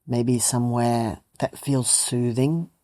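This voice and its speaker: A meditation teacher gently leading a guided meditation in a soothing, unhurried way with long pauses. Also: mid-range voice, fairly even intonation. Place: meditation retreat.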